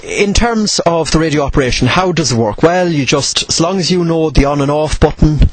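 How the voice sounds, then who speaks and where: A man with a slightly husky voice giving an informal tutorial, explaining in a measured way and posing questions he then answers. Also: fairly high pitch, no echo, rough recording. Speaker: presenter of instructional radio videos.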